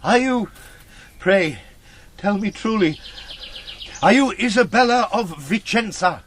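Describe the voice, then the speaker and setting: An actor playing a proud, imperious knight in a gothic radio drama, declaiming in archaic language. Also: male voice, sits high, lively intonation, slow, exaggerated voice. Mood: solemn, searching. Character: proud, imperious male knight, quick to anger, solemn.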